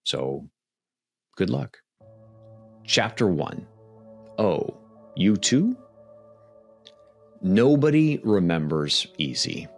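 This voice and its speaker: A man hosting a podcast, talking and reading his own writing in a conversational, emphatic way with dramatic pauses. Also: sits low, very animated, creaky, husky, soft background music. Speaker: podcast host and author. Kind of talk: casual podcast talk, then storytelling book reading.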